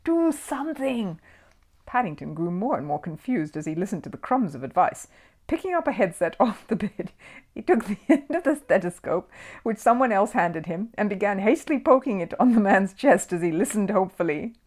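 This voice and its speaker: A woman reading a children's story aloud as scripted, expressive narration. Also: medium pitch, brisk. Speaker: storybook reader.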